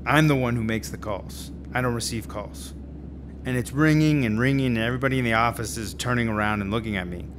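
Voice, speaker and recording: An actor playing a laid-back slacker screenwriter in telesales, rambling and slangy in a radio drama. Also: male voice, mid-range voice, a little fast, background noise, close to an everyday voice. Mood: animated storytelling. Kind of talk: naturalistic radio drama acting. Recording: music under the dialogue.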